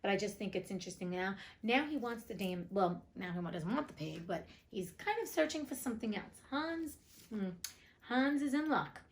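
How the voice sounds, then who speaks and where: A woman's voice: mid-range voice, animated, normal speed. A woman reading a storybook aloud as host, breaking off for chatty, informal, joking commentary. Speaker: reader and host of a classics read-aloud show.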